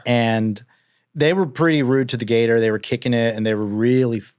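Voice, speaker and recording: A man from Miami tells stories as an interview guest. His pitch is average and his wording is loose and slangy. The sound is dry, with very little room in it. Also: steady tone, a little fast, darkish tone, no echo. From Miami, Florida. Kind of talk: casual conversational storytelling.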